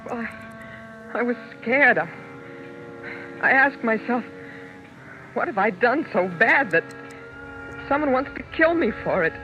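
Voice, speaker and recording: Frightened high-voiced wife, old radio. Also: female voice, expressive, slow, pauses often. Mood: shaken. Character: frightened wife who believes her husband is trying to kill her. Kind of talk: 1940s-1950s radio drama acting. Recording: dull old-time radio recording with music behind.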